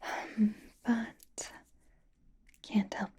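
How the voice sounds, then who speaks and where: A woman voicing a possessive, haughty, flirtatious vampire in a breathy, intimate whisper for an ASMR roleplay. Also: mellow. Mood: doting, possessive. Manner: intimate, languid, disdainful, drawn-out phrasing with pauses.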